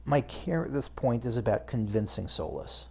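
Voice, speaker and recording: A man's voice: mid-range voice, animated, normal speed, gravelly, a little mellow, husky. A man giving casual video game playthrough commentary, hesitantly thinking aloud as he goes. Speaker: gaming video host.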